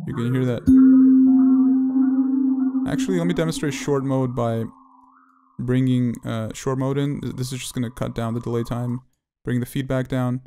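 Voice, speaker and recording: A man giving an unscripted tutorial walkthrough, talking in a halting way as he thinks aloud. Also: medium pitch, fairly even intonation, warm tone, soft background music. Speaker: audio plugin demonstrator.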